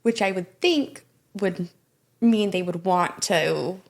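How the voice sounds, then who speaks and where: A woman's voice: fairly low pitch, slow pace, creaky. An American woman co-hosting in casual chat, informal, reacting expressively and acting out imagined quotes. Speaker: podcast co-host.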